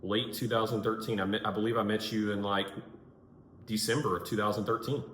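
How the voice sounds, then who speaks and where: A man's voice: fairly low pitch, fairly even intonation, fairly quick, a bit bright. A man hosting a podcast and interviewing a guest in a casual, conversational way. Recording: echoey room, clear background noise.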